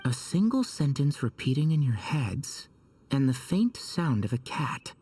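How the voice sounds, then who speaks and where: Game role: thoughtful gentle healer, soft-grained husky voice, expressive intonation, slightly distant. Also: male voice, mid-range voice. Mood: analytical. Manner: careful, considerate, measured. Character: gentle, analytical young male healer.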